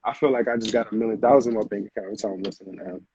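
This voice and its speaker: A man's voice: lowish voice, steady tone, very fast, dark tone, husky, lo-fi sound. An American man chatting casually as an interview guest, with slangy phrasing and a habit of repeating himself. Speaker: music producer, interview guest.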